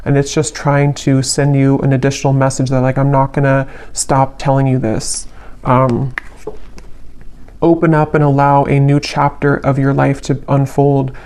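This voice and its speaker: A woman giving an unscripted tarot reading in a reflective, halting way, letting her phrases trail. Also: a bass voice, warm tone. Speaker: tarot reader, channel host.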